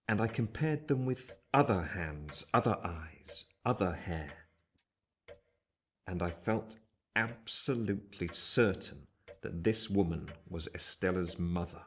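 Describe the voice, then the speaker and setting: An actor playing an earnest, reflective gentleman narrating his own story in measured, literary style for a radio play. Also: low voice, animated, a little hiss or hum. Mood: intent, grave. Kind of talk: scripted first-person radio play narration.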